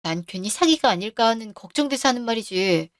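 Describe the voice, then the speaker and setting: A woman's voice: fairly high pitch, expressive, a little fast, dry recording, studio quality, lightly acted. A woman voicing a cautious, worried wife who keeps questioning her husband, in a radio drama. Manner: anxious, nagging questions.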